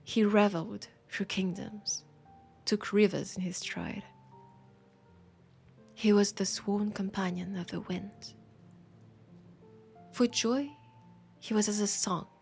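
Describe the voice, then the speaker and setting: Romantic bookseller for an intimate audio roleplay, played by a woman: French accent, unhurried breathy delivery, tender and teasing, music underneath. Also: medium pitch, steady tone, a little mellow, exaggerated voice. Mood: poetic, rapt. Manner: slow, breathy, whispery, tender and playful. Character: romantic, teasing female bookseller fond of a vampire customer. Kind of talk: soft intimate roleplay audio with poetic reading. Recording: music behind the voice.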